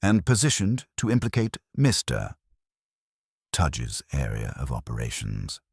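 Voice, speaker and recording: A man reads as a shrewd police inspector in a mystery audiobook, methodical and dryly formal. The voice is extremely deep and husky, with deliberate delivery. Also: huge pitch swings, no room sound, studio quality, exaggerated voice.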